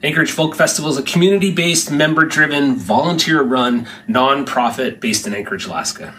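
A man's voice: fairly high pitch, fairly quick, sharp tone. A man in his sixties delivering a scripted promotional announcement, recorded indoors a few steps from the camera. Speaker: festival board president. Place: indoor room by a large window.

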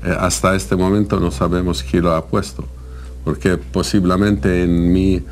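Slow, deep, gravelly man with foreign accent. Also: little pitch movement, darkish tone, a little hiss or hum. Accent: foreign-accented Spanish. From Lebanon. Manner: halting, broken grammar. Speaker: interviewee.

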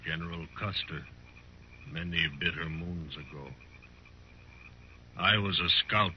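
An actor playing a proud, menacing chief in a 1950s radio western, speaking in clipped, solemn sentences. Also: male voice, low-pitched, unhurried. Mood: proud, cold. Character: stern, proud Cheyenne chief, terse and menacing. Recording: muffled, faintly noisy 1950s radio recording.

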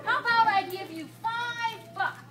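A woman's voice: extremely high pitch, measured pace. A woman voicing a stubborn, wheedling pigeon in a children's puppet show, pleading dramatically. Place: library children's room, audience of children. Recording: echoey noisy room from far off.

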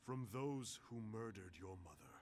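A man's voice: fairly low pitch, expressive, normal speed, a little mellow, natural voice. A man playing a stern, honorable ninja master in a video game, formal and authoritative. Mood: commanding. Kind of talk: dramatic video game acting.

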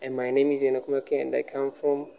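A man's voice: medium pitch, completely flat delivery, fairly quick, gravelly, crowd noise. A man from Ethiopia, a marketing student, formally introducing himself in a self-recorded video. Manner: formal, grateful. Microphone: phone at arm's length. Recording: noisy phone clip.

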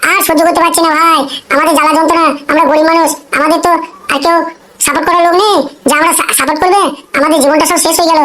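A woman's voice: very high voice, steady tone, very fast, sharp tone. A woman voicing a distressed, poor mother-in-law in a comic cartoon, plaintive and wordy. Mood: pleading. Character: distressed, pleading poor mother-in-law begging a gangster for help. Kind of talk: amateur comic cartoon dialogue.

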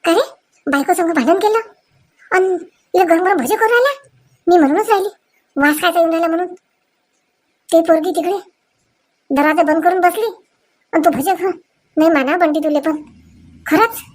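A woman voicing excitable, quarrelsome village women in a cartoon comedy, with a rural Vidarbha Marathi accent and a scolding, exclamatory manner. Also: extremely high pitch, normal speed, long pauses. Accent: Marathi, Vidarbha dialect.

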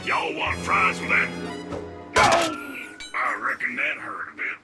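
A man's voice: a little high, wildly swooping pitch, slow pace, heavily acted. An actor voicing a dim, folksy robot henchman with an American cowboy drawl in a game cutscene. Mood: folksy, unbothered. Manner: folksy, bumbling. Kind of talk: animated game cutscene acting. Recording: loud music behind.

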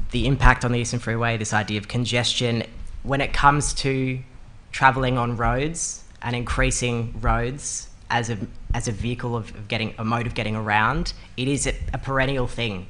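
Bright-voiced young man on a panel. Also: mid-range voice, slight background noise. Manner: earnest, measured, some restarts. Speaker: political candidate on a panel. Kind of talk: public forum panel answer. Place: meeting room with panel table. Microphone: desk microphone on stand.

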